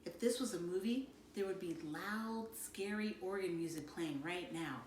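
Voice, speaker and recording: A woman reading a children's novel aloud in an animated, dramatic storytelling manner. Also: on the low side, normal speed. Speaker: read-aloud narrator. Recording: roomy, distant mic, faint noise.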